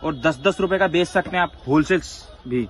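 A man vlogging from an outdoor wholesale market, excitedly quizzing a shopkeeper about prices and repeating them for viewers. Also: a little high, moderate pace, slight background noise. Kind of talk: upbeat wholesale market vlog.

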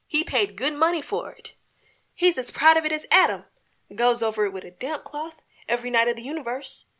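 Woman acting earnest, higher-voiced radio housewife. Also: expressive, brisk, fairly bright tone. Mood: exasperated. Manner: folksy, plaintive, coaxing. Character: earnest, peacemaking housewife and mother, no longer young. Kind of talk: old-time radio comedy acting.

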